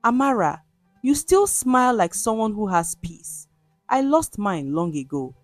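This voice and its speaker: A woman voicing a frail, regretful old man, a chief near death, in a storybook tale, speaking weakly and haltingly. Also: medium pitch, sing-song, no echo, faint music behind, voice pushed up. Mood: sorrowful, confessional. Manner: weak, halting, confessional. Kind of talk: storybook narration dialogue.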